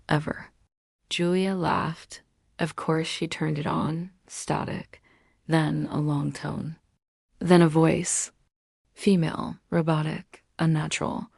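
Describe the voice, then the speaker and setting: Low-pitched, gravelly woman narrating horror. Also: a little mellow, no room sound, studio quality. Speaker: storyteller and channel host. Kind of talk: scripted horror story narration.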